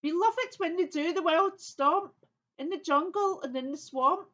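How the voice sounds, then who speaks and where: A woman's voice: very high-pitched, normal speed, fairly bright tone, dull audio, voice pushed up. A woman voicing a chirpy squawking sea bird that repeats its phrases in a children's audio play. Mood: gleeful warning.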